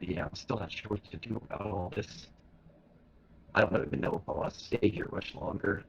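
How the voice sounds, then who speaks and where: A man playing a weary, disillusioned colony worker in a tabletop game, grumbling and swearing in a resigned, halting way. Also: on the low side, creaky. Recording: dull crackly voice-chat line, background noise.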